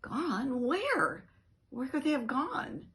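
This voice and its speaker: Woman reading as an earnest storybook dog, slow and emotional. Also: high-pitched, very animated, a bit bright, slight background noise, voice pushed up, heavily acted. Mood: distressed. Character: Baxter, earnest, tender male family dog. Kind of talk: children's storybook read-aloud.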